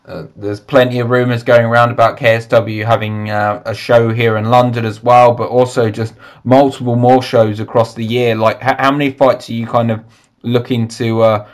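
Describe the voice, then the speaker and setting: A man hosts a casual podcast interview, asking questions in a loose, hedging way and repeating words as he goes. His delivery stays flat and level, with little rise or fall in pitch. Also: normal speed. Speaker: podcast host.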